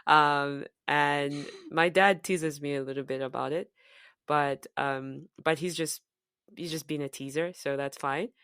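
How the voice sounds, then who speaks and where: A woman from Zambia whose accent is softened by years in the US and UK, co-hosting a podcast in casual, reflective chat with frequent fillers and restarts. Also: low-pitched, steady tone, measured pace, clear, resonant tone, dry recording, studio quality. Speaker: podcast co-host.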